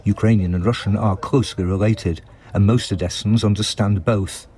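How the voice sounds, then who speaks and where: A man narrating a scripted news report as a foreign correspondent, measured and descriptive. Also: fairly low pitch, fairly even intonation, dark tone.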